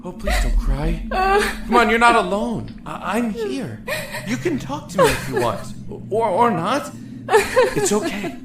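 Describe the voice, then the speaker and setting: An actor playing a lonely, emotional young man in a prison cell in an audio drama, talkative and halting. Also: very high voice, huge pitch swings, background noise, exaggerated voice. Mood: tearful, consoling. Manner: halting, rambling, effusive. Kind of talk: audio drama acting with first-person narration. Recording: echoey room with music behind.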